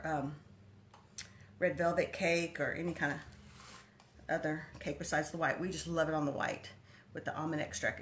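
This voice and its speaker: A woman's voice: low voice, a bit bright, slight background noise. Chatty Texan woman cooking live. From Texas. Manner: chatty, bubbly, talks to viewers by name. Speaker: cooking livestream host. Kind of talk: casual live cooking chat with viewers. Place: home kitchen.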